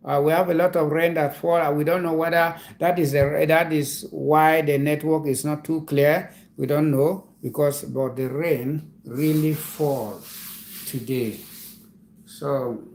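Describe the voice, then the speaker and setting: A man with a Nigerian accent hosting a comedy livestream, talking casually and explaining things slowly, repeating himself. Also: on the high side, steady tone, darkish tone. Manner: slow, repetitive, lecturing explanations.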